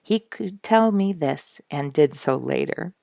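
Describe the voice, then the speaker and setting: A woman reading a story aloud to children as a storyteller, expressively and with hushed emphasis. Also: low voice, expressive, unhurried, vocal fry, no room sound, studio quality.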